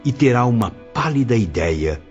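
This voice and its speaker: A man's voice: mid-range voice, very animated, normal speed, close to an everyday voice. A man playing a solemn spirit narrator confiding a grim tale, in a theatrical audio drama manner. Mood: grave disgust. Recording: dull audio with clear background music.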